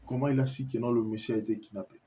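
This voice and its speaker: A man's voice: medium pitch, steady tone, racing, warm tone. A man with a Congolese French accent giving an impassioned, insistent monologue to viewers, repeating himself and stammering as he searches for words. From Kinshasa, Congo. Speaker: commentator addressing viewers.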